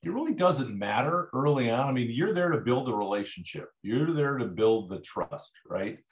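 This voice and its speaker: A man's voice: mid-range voice, sing-song, normal speed. Guy hosting a real estate stream, upbeat and encouraging, says yep a lot, roomy echo. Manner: encouraging, lots of affirming "yep"s. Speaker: livestream host, real estate broker support. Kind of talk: casual coaching chat.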